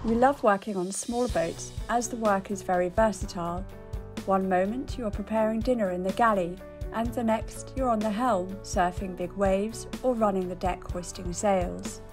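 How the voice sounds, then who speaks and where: A woman reading calm, explanatory voice-over narration for a sailing video. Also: mid-range voice, moderate pace. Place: voice-over, not recorded on deck. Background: music.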